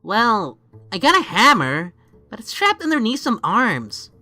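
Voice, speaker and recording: An earnest, awkward young teenage boy voiced by a woman in a webcomic fan dub. The voice is bright and forceful with very animated pitch, informal and hesitant, quick to get frustrated. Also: soft background music, heavily acted.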